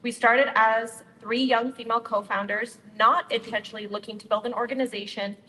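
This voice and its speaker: Bright-voiced young woman speaking in a hall. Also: fairly high pitch, fairly even intonation, fairly quick. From Utah, United States. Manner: upbeat, friendly, addresses the crowd directly. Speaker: nonprofit co-founder giving a speech. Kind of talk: prepared speech at a community event. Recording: reverberant hall, faint ambient noise.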